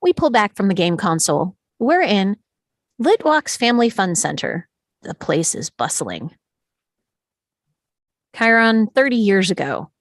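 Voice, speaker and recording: A woman's voice: medium pitch, sing-song, measured pace, pauses often, no echo, studio quality. A woman narrating scripted stage directions at a screenplay table read.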